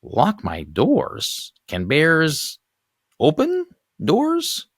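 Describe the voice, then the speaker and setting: Man putting on a shrill, gushing older lady neighbour. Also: huge pitch swings, breathy edge, no echo, clean studio sound, exaggerated voice. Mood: puzzled. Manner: shrill, eager, drawn-out calling. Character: gushing, nosy older woman neighbour, pushy saleswoman.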